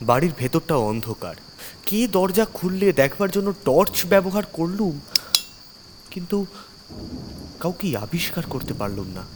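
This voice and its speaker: A man's voice: on the high side, big pitch swings, moderate pace, long pauses, background noise. A man narrating a horror story in the first person as a doctor, theatrical and suspenseful.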